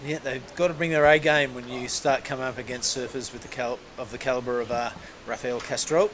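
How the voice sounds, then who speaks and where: A man's voice: medium pitch, fairly even intonation, brisk, noisy background. A man hosting live surf commentary, calling rides in a laid-back, matey way.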